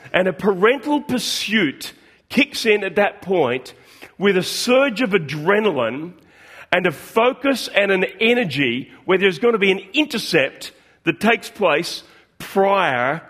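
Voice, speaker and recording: A middle-aged man gives a sermon in an easygoing, talky way. His voice sits a little high for a man. He often pauses on fillers and restarts his sentences. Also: a bit bright. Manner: conversational, with frequent fillers and restarts. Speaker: preacher.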